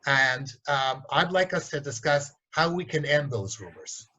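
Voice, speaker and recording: Blunt, animated man on a lo-fi call. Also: a little high, unhurried. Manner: blunt, animated, mimics voices in stories. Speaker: organisation development consultant. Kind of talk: candid interview storytelling.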